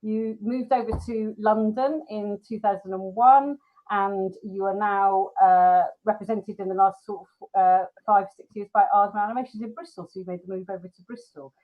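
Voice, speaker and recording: A woman's voice: medium pitch, normal speed, phone-quality sound. A woman hosting a casual live filmmaker Q&A, talking in a chatty, informal way.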